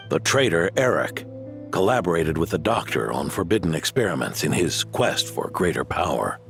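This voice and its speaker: An aging knight from a fantasy game, very low and crackling. He is gruff and plain-spoken, weary yet protective, joking at his own expense. His delivery rises and falls widely. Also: full character voice. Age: older. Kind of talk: dramatic video game voice acting.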